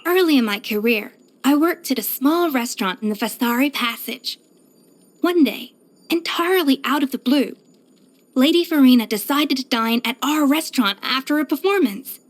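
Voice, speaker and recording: An actress voicing a proud, exacting young pastry chef in a video game, poised, self-assured and precise in manner. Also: female voice, high voice, normal speed, lightly acted. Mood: earnest, admiring. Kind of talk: scripted video game character voice lines.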